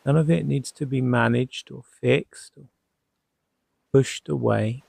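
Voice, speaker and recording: A man speaks as a hypnotherapist leading listeners toward sleep. His voice is mellow and creaky, in a dry, close recording. He is reassuring and unhurried, letting each short phrase settle before the next. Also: medium pitch, steady tone, no echo. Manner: soothing, unhurried, gently reassuring, with long pauses. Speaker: hypnotherapist guiding a sleep session. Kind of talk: guided sleep hypnosis narration.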